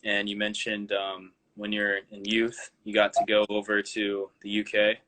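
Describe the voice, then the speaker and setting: A man's voice: lowish voice, completely flat delivery, measured pace, a bit bright, low-quality recording. A male host asking interview questions over a video call, hesitant with many "um"s and "like"s. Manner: hesitant, many ums and likes.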